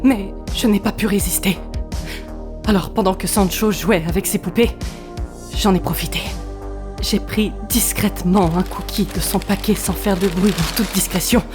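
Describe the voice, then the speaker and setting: A woman's voice: medium pitch, fairly even intonation, moderate pace, music in the background, close to an everyday voice. A woman playing a melodramatic guilty housewife, dramatic and over-emphatic, in a comedy audio drama. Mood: sheepish confession.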